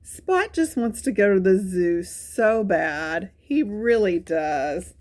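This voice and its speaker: A woman's voice: mid-range voice, takes it slowly. A woman hosting a children's storytime, reading a picture book aloud with chatty, exclaiming asides and repeated phrases. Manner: animated, exclaiming, repeats phrases to young listeners.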